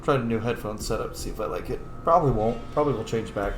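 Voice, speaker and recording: A man's voice: mid-range voice, very fast. A man hosting a roleplay playthrough with casual game commentary. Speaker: host, gaming streamer. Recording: roomy echo, game music behind.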